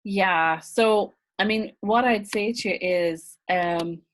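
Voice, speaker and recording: Irish woman, business coach on a live class; chatty, quick to laugh, reads viewer comments aloud. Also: mid-range voice, a little hiss or hum. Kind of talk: live online masterclass.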